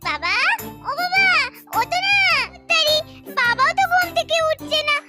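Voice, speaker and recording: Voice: very high voice, very animated, normal speed, pitched above the performer's own voice. A performer voicing a frightened, whiny little village girl who pleads urgently in a children's doll story. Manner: whiny, exclaiming, repeats calls and pleas. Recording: children's music behind the voice.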